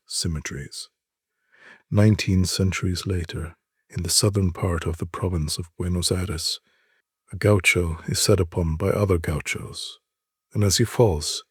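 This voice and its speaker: A man with a husky voice giving a deliberate, solemn dramatic reading of literary short stories, with measured pauses. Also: deep voice, dry recording, clean studio sound.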